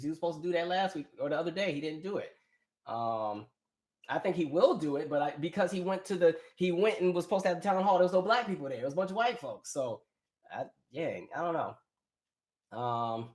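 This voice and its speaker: A man's voice: medium pitch, darkish tone. An American man hosting a casual live chat, opinionated and colloquial, thinking aloud as he goes. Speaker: live stream host. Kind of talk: casual live chat, reading and answering viewer comments. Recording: echoey room on a live stream.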